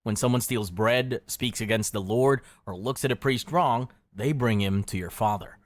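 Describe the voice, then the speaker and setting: A man with a fairly low voice narrates a scripted history story addressed to the listener. His delivery is darkly wry and dramatic, and the sound is dry and close. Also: moderate pace, dry recording. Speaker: history explainer video narrator. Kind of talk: scripted second-person narration.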